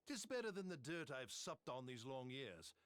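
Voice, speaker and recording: An actor playing a bitter, contemptuous traitor soldier in a fantasy game, taunting an old friend. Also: male voice, medium pitch, sing-song, normal speed, a bit bright, no echo. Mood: bitter contempt. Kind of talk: theatrical game cutscene acting.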